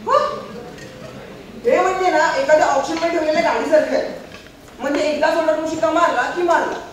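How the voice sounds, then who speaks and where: A man's voice: extremely high pitch, fairly even intonation, fairly quick. A man playing a reluctant young bachelor, cynical about marriage, declaiming argumentatively in a stage play. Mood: wry, declaiming. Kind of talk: theatrical stage monologue and dialogue. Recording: echoey stage hall from far, faint noise.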